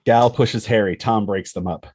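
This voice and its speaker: Husky low-voiced guy narrating a script, scene headings and action. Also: steady tone, moderate pace, a little mellow. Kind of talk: dramatic screenplay narration.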